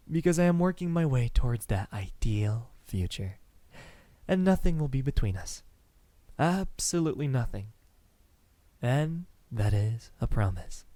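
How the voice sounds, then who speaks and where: Guy doing a romantic vampire asmr roleplay, soft n teasing, really slow, kinda formal, super dry close sound. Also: medium pitch, dry recording. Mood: persuasive, devoted. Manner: hesitant, soft-spoken, formal wording with lingering pauses. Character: lovestruck, teasing, courteous male vampire courting a hunter. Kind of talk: intimate ASMR roleplay acting.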